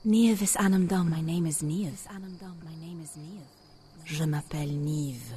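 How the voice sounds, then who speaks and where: A woman playing an enchanting, teasing fairy woman in a radio drama, speaking in playful, breathy whispers. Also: low voice, unhurried, warm tone. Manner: playful, breathy whispers, switches between tongues.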